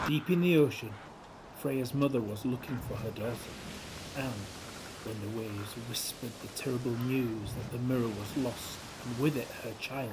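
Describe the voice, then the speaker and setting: A man reading a children's story aloud in a gentle, expressive way, with hushed dramatic drops. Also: medium pitch, background noise. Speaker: storybook reader. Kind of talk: scripted children's story narration, read aloud.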